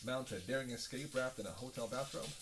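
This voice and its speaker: A man's voice: mid-range voice, a little fast, lightly acted. Man acting as a sardonic, teasing vampire, noisy background. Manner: sarcastic, playful, drawling asides with sighs and scoffs. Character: sardonic, teasing male vampire bantering with his hunter. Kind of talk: audio roleplay acting, one-sided dialogue.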